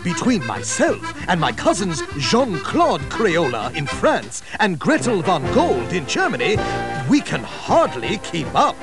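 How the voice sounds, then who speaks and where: A man voicing a jolly, upper-class English crayon gentleman with an English accent and an exaggerated, plummy delivery. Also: sits high, wildly swooping pitch, measured pace, over music, exaggerated voice.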